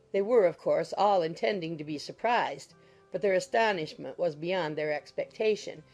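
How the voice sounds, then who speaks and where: A woman's voice: on the low side, gravelly, darkish tone. A woman reading scripted literary narration as an audiobook narrator.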